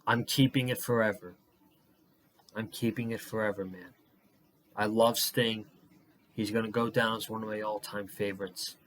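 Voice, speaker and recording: A young man reviewing a wrestling show on his channel, losing his voice and worn out, talking excitedly with a lot of swearing and rambling. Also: lowish voice, normal speed, long pauses, slight background noise. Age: young adult. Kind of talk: casual solo review vlog.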